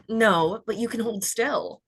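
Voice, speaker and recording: A woman's voice: mid-range voice, slow, a bit bright, breathy edge. A woman playing a combative, cutthroat young beauty queen in a screenplay table read, sarcastic and confrontational. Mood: hostile.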